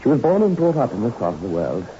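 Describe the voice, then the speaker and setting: A man's voice: mid-range voice, sing-song, fast, mellow, natural voice. An actor playing a middle-aged father who guards his troubled daughter, earnest and formal, in 1960s radio drama style. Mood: grave, confiding. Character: grieving, pleading father guarding his deranged daughter on an island. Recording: muffled, noisy old 1960s radio recording.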